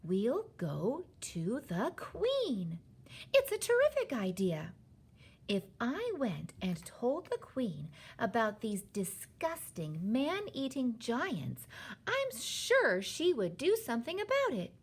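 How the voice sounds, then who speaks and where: A woman's voice: on the high side, sing-song, measured pace, few pauses, slightly rough, voice pushed up, heavily acted. A woman voicing a feisty, indignant, determined young girl from a children's storybook, speaking in emphatic, impassioned exclamations. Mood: excited. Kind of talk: children's storybook character reading.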